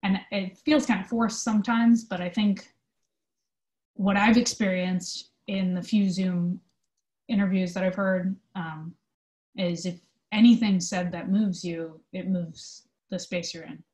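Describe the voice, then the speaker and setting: A woman speaking as an interview guest, giving thoughtful, conversational answers in an earnest, halting way with emphasis on key words. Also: medium pitch, steady tone, a little mellow. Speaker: interview guest, theatre company manager.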